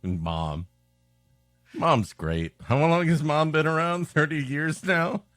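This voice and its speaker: A man's voice: fairly high pitch, lively intonation, slow, a bit bright, no echo, studio quality, faint music behind. A man co-hosting a comedy podcast, riffing in a sarcastic, mock-exasperated way. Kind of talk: joking riffing on a story being read.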